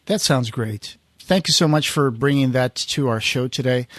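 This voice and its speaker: A man's voice: medium pitch, normal speed, a bit bright, no room sound, clean studio sound. A man hosting a podcast in a casual conversational style. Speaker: podcast host.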